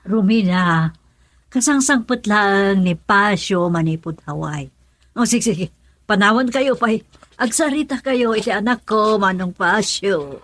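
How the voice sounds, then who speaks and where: A woman's voice: mid-range voice, lively intonation, a little slow, a bit bright, dry recording. A woman playing a pushy, scheming mother in a radio drama, speaking insistently with an Ilocano accent. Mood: fussy, welcoming. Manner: nagging, insistent. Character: pushy, scheming mother urging her daughter to marry money.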